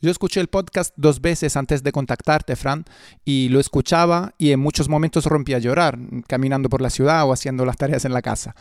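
A man's voice: medium pitch, steady tone, fairly quick, darkish tone, no echo, studio quality. A middle-aged man presenting a radio programme as its host in a scripted style.

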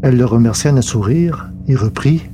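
A man's voice: mid-range voice, moderate pace, over music. A man narrating a science fiction novel in a scripted, literary storytelling style.

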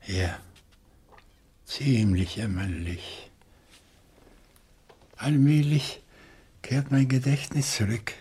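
An older man acting a weary, wounded, philosophical professor in a radio crime drama, speaking weakly, haltingly and solemnly. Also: mid-range voice, lively intonation, mellow, breathy edge, exaggerated voice. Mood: weary, bitter. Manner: halting, solemn, drawn-out speech. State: weak, exhausted.

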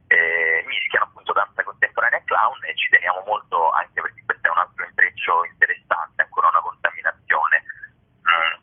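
Deep-voiced, husky man on a muffled line. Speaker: theatre festival organiser and presenter. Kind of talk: informal promotional interview. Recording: muffled lo-fi phone-like radio line.

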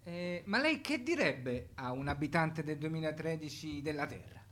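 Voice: medium pitch, lively intonation, moderate pace, a bit bright. Hesitant caller over background noise. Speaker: caller in a radio sketch. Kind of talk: casual, colloquial radio sketch dialogue.

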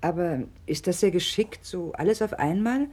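Radio play mother: middle-aged, sceptical, concerned, low dark voice with some huskiness. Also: animated, moderate pace, breathy edge. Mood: reproachful, concerned. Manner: questioning, doubtful. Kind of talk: German radio play dialogue.

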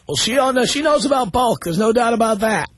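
A man with a strongly husky, breathy voice that sits higher than most men's. He hosts call-in radio from a studio mic, blunt and teasing with callers. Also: animated, a little fast, fairly bright tone, dry recording. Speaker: radio talk show host. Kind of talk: call-in talk radio banter. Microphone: studio microphone.

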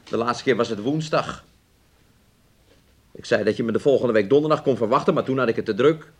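A man's voice: medium pitch, brisk, slight background noise, close to an everyday voice. An actor playing a domineering, self-absorbed man in a radio play, nagging and coaxing his sick lover and calling himself by name. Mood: disgusted, scolding. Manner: talks of himself in the third person, nagging and coaxing. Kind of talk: Dutch radio play acting.

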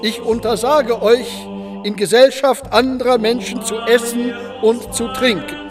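An actor playing a stern, unforgiving abbot who declaims solemnly in a radio drama. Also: male voice, very high voice, steady tone, measured pace, music in the background. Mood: solemn, severe.

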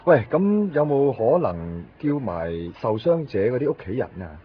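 An actor playing a brisk, obliging police constable in a 1990s Hong Kong Cantonese radio drama. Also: male voice, medium pitch, sing-song, a little hiss or hum, lightly acted. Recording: dull lo-fi radio recording.

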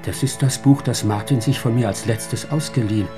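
An actor playing a learned, grave rabbi in a radio drama, speaking deliberately and weightily. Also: male voice, medium pitch, over music, close to an everyday voice.

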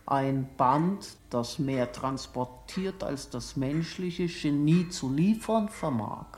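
An actress voicing a worldly writer's quotations in a radio play, deliberate and weighty. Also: female voice, deep voice, animated, a little mellow.

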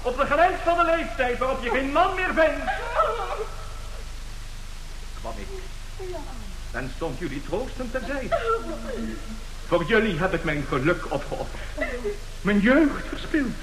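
A man's voice: very high-pitched, wildly swooping pitch, measured pace, pauses often, a bit bright, slight background noise, full character voice. An actor playing a glib, brazen swindler and seducer of women in a radio play, theatrical in his bargaining and pleading. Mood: grandiose pleading. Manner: theatrical, swinging from cool bargaining to florid pleading. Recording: roomy radio drama recording.

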